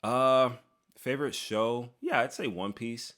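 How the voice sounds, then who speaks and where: A young man from Texas hosting a casual Q&A, reading comments with quick, sarcastic asides. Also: medium pitch, fairly bright tone. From Texas, USA. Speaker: YouTuber host.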